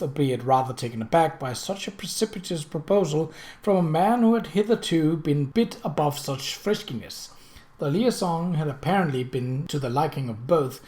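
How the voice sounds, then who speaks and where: An audiobook reader giving an expressive, formal narration of a period mystery novel. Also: mid-range voice.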